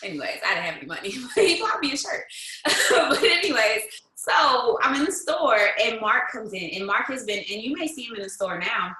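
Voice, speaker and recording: A woman's voice: on the high side, very animated, a little fast, sharp tone, echoey room. A woman in her thirties telling stories as a guest, bold and emphatic, laughing often. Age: 30s. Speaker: guest, business leader. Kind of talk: casual storytelling, motivational.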